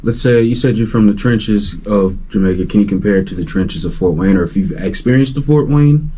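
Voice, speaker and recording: A man hosting a casual interview, asking questions and answering with short, relaxed affirmations. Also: lowish voice, fairly quick, a little hiss or hum. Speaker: podcast host.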